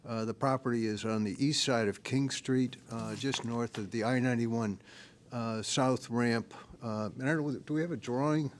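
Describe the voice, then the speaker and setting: A soft-spoken man presenting a project to a town board as the engineer, hesitant with many uhs. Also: mid-range voice. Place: town hall meeting room.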